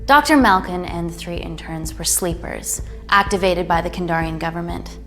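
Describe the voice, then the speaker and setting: An actress playing a determined war-zone veteran who demands accountability, in film drama style. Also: female voice, on the low side, normal speed, lightly acted. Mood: confrontational. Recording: music behind the dialogue.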